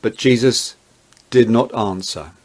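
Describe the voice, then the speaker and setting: A man narrating a dramatized Bible reading in a measured, deliberate way. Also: on the low side, a little mellow.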